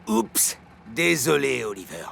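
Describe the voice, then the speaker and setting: A man voicing a mischievous, eager young twin dump truck in a children's cartoon, cheeky and gravelly. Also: medium pitch, huge pitch swings, takes it slowly, fairly bright tone, a little hiss or hum, exaggerated voice. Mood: apologetic. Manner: cheeky, playful. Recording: music behind the voice.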